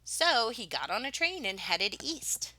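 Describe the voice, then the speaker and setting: A woman reads a children's picture book aloud in a bright, forward voice. Her pitch moves up and down in an animated way, and she stresses key words with dramatic pauses. The sound is dry and close, with very little room. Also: dry recording.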